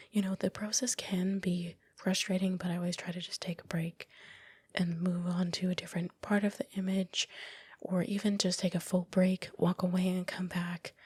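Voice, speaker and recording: Woman, ASMR whisper, breathy, soft, casual reflective commentary, close mic, dry sound. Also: fairly low pitch, dry recording. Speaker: ASMR creator narrating own project. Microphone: close, dry microphone.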